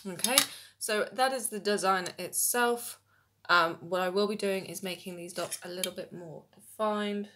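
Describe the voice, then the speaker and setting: A young woman, slightly hoarse from a cold, talks through a makeup look step by step in a relaxed way with many ums, filmed at home by a camera in front of her. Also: medium pitch, normal speed, background noise. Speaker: makeup vlogger. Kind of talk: makeup tutorial. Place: small indoor room at home.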